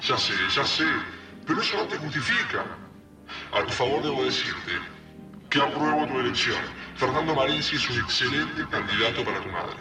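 A man's voice: mid-range voice, lively intonation, moderate pace, natural voice. An actor playing a dead father's reproachful ghost in a radio drama, with an Argentine accent and theatrical, scolding delivery. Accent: Argentine, Rioplatense. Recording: echoey, distant, music behind.

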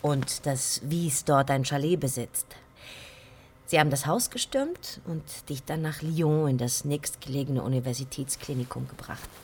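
An actress playing a composed, dryly teasing friend of the hero in a German crime radio play, talking conversationally. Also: female voice, low voice, normal speed, slight background noise. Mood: matter-of-fact.

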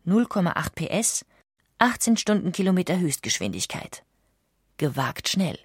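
Low-pitched woman narrating, dry studio sound. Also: very animated, normal speed, breathy edge, dry recording. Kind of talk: scripted documentary narration.

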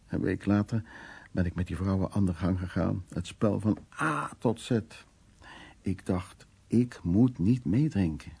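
Radio drama: worldly, blunt male narrator, dark and creaky, wry earthy delivery. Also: low voice, slow, long pauses, heavily acted. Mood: earthy, confessional. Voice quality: gravelly, husky, dark. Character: worldly, blunt man recounting wartime escapades in Berlin.

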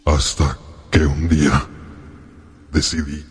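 Slow, deep, lonely monster. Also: male voice, level tone, husky, full character voice. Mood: sorrowful, brooding. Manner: slow, halting, brooding. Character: lonely, sorrowful male monster telling his story. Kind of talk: dramatised audiobook narration, radio drama.

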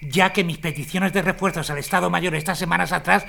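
An actor playing a defiant, embittered army colonel in a historical audio drama, formal and insistent. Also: male voice, sits high, fairly even intonation, a little fast, vocal fry, bright, forceful tone, full character voice. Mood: defensive, bitter. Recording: noisy background.

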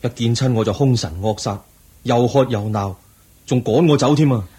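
A man's voice: mid-range voice, moderate pace, warm tone, breathy edge. A man with a Hong Kong Cantonese accent playing a brooding young prince disguised as a cook in a radio drama, earnest and plaintive. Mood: frustrated.

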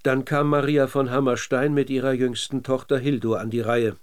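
A male narrator with a standard German accent and a medium-pitched voice. He reads scripted documentary drama for radio, measured and factual throughout. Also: level tone, clean tone.

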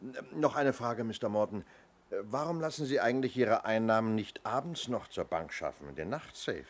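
An actor playing a methodical police inspector in a 1970s radio play, measured and probing, with small hesitant lead-ins to his questions. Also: lowish voice, lively intonation. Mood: probing, polite. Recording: noisy old radio play recording.

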